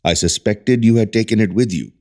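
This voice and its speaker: A man's voice: on the low side, fairly quick, warm tone, lightly acted. A man playing a grave, secretive research doctor in an audio drama. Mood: grave, explaining.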